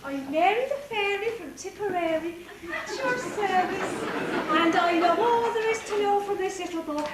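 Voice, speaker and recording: A woman's voice: very high voice, lively intonation, moderate pace, over a noisy crowd. A woman playing a kindly, whimsical fairy godmother in a stage pantomime. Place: theatre stage. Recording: echoey hall from far off, faint noise.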